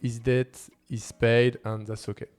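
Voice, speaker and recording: A man's voice: mid-range voice, flat delivery, unhurried, darkish tone, faint music behind. French-accented man hosting a vlog. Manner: non-native English phrasing. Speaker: language-learning video host. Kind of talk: casual talk to camera.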